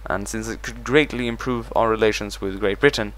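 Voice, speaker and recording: A man's voice: fairly low pitch, steady tone, a little fast, fairly bright tone. A man hosting a let's play, talking through his strategy game moves as he thinks them over. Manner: thinks aloud while managing a strategy game. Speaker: gaming channel host.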